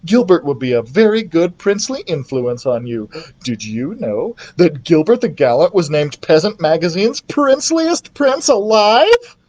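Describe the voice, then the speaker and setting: A woman voicing a doting, excitable storybook queen who gushes in drawn-out exclamations. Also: sits low, dull audio. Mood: thrilled. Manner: gushing, drawn-out exclamations, talks over others. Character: excitable, gushing, doting storybook queen mother, hard of hearing.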